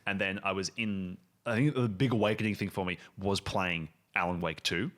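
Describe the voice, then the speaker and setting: A man talking casually as a game master, halting and emphatic as he thinks aloud and describes things. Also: deep voice, steady tone, fairly bright tone. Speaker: show creator and game master. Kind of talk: casual chat and improvised game narration.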